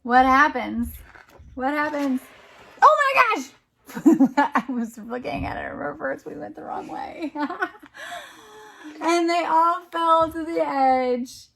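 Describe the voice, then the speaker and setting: A woman's voice: high-pitched, lively intonation, takes it slowly, vocal fry, pauses often, sharp tone, slight background noise. A woman reading a picture book aloud to a young child as a teacher, with encouraging, exclamatory delivery. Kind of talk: interactive children's storytime read-aloud.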